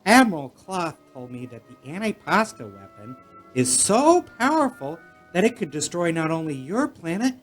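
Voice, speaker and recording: An earnest boy space hero, acted by an adult for a radio play. The voice is innocent and gee-whiz, swinging up and down in pitch over faint music. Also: very animated, normal speed, natural voice. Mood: earnest, eager.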